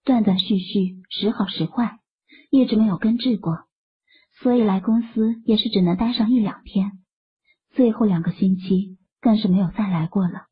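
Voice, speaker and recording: A woman playing a worried, dutiful young office colleague in an audio drama, with a husky, breathy voice. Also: medium pitch, normal speed, lo-fi sound.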